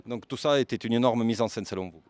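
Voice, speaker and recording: A man's voice: medium pitch, fast talker, darkish tone. A man working as a street interviewer, asking questions politely and formally and giving his commentary. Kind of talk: vox pop interviewing and commentary.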